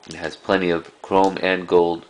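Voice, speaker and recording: A man giving a casual, unscripted show-and-tell of a collection in a halting, rambling way. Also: low voice, flat delivery, slight background noise, poor audio. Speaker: cassette collector presenting a collection.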